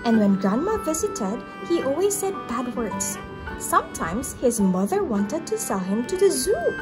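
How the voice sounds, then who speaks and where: A woman reading a children's storybook aloud as a teacher would, in a gentle, expressive storytelling manner. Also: high voice, a little slow, over music.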